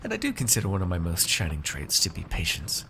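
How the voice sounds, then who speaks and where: A man playing a haughty, shrewd noble master in a fantasy ASMR roleplay, speaking in measured, formal, condescending phrases to a servant. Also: low-pitched, wildly swooping pitch, pushed and bright, a little hiss or hum, exaggerated voice. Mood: condescending, weary.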